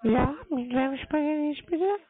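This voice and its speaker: A woman talks on a phone call at a slow pace. Her voice is high, and the line is thin and narrow. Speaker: phone caller. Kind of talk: phone conversation.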